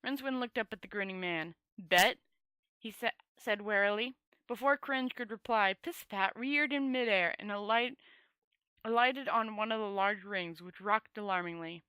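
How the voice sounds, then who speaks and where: Bright-voiced woman reading a story aloud, halting. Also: medium pitch, smooth, no room sound. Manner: halting, often stumbling and correcting herself. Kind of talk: amateur read-aloud audiobook narration.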